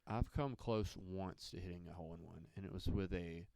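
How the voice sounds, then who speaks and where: A man from Mississippi co-hosting a podcast, chatting casually in a relaxed, rambling way and laughing often. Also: low-pitched, a little fast, creaky, no echo. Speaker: podcast co-host. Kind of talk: casual chat.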